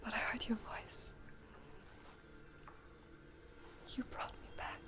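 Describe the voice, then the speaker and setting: A woman playing a haunted young warrior, frightened yet determined, with breathy, intense delivery in a game drama. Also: normal speed, sharp tone, in a whisper, lightly acted. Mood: fragile. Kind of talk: dramatic video game acting.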